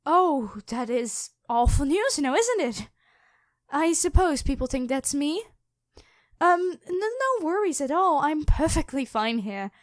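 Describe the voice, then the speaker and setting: Dragon queen for ASMR roleplay female performer: high-pitched, imperious and teasing, very unhurried, close dry sound. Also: big pitch swings, dry recording. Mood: nervous, over-bright. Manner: teasing, commanding, drawn-out delivery. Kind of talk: ASMR roleplay addressed to the listener.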